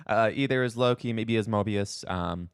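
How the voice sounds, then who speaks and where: A man's voice: on the low side, normal speed, smooth, no echo, studio quality. A man co-hosting a podcast, chatting casually about pop culture in a rambling way full of filler words. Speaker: podcast co-host.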